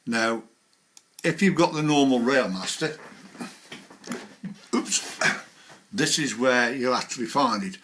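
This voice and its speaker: A man with a bright, clear-cut voice of middling pitch gives an informal tutorial. He talks through each step while doing it, in a practical, hands-on manner. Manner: hands-on, talking through each click as he goes. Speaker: presenter of a how-to video. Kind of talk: informal step-by-step software demonstration.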